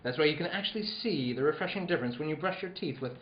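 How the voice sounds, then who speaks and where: A man's voice: fairly high pitch, animated, quick pace, reverberant, lo-fi sound, a little hiss or hum, natural voice. A man playing a polished, upbeat old-time radio announcer reading scripted copy with grand, salesman-like emphasis.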